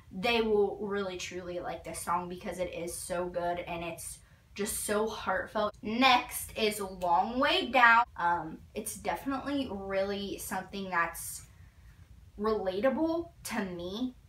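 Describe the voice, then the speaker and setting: A woman's voice: mid-range voice, a bit bright. A teenage girl gushing through a casual album review in her bedroom, full of "like", filmed by a camera a few feet away. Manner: gushing, filler-heavy with frequent "like". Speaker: vlogger. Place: bedroom, indoors. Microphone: fixed camera a few feet away. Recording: roomy, slightly distant.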